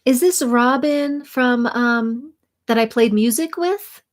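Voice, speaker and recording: A woman hosting a spiritual sound healing livestream, talking slowly and soothingly while reading viewer comments and laughing softly. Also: a little high. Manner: slow, soothing, reflective, with soft laughs and restarts.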